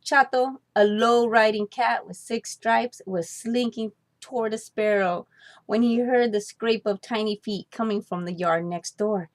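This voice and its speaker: A woman's voice: a little high, steady tone, unhurried. Woman expressively reading a children's story. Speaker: storybook reader.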